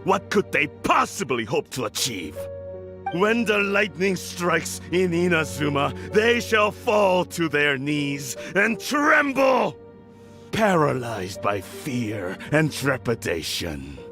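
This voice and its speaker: Haughty, animated older clan lord. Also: male voice, on the high side, takes it slowly, over music. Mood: grandiose. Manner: haughty, theatrical, formal. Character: proud, scheming older male clan lord, arrogant and grandiose. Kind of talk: video game drama acting.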